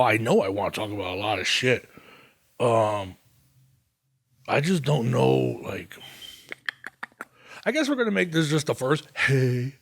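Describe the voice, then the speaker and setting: A man in his thirties talks on a solo podcast, rambling from one thought to the next. He swears freely and laughs at himself, and his pitch sits in an ordinary middle range. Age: 30s. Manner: rambling, profane, self-mocking, with fillers. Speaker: podcast host. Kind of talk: casual solo podcast monologue.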